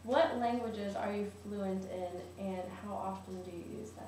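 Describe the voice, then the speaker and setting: A woman's voice: mid-range voice, steady tone, a little slow, darkish tone, faint music behind. A woman asking short, prompting interview questions. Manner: brief, prompting. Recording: echoey room from a distance.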